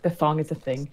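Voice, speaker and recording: A woman's voice: sits low, darkish tone. A woman hosting a game stream, chatting casually with friends and laughing often. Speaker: streamer host. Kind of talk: casual gaming chat. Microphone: push-to-talk voice chat.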